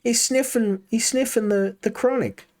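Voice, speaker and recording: A man's voice: very high voice, steady tone, moderate pace, a little mellow. A man streaming a game, chatting casually and rambling while talking to his chat. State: coming down with a cold.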